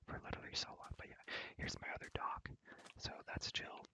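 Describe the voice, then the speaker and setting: Young man in a breathy whisper. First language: English. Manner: halting, repeats words and phrases softly. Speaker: ASMR content creator. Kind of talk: whispered ASMR game tour, casual rambling chat.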